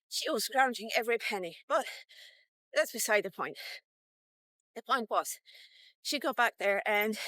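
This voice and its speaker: A woman's voice: fairly high pitch, fairly bright tone, no room sound. A woman in her fifties telling a personal story casually, in a candid, rambling, sweary way. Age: 50s. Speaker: vlogger. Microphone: portable mic, walkie-talkie vlog.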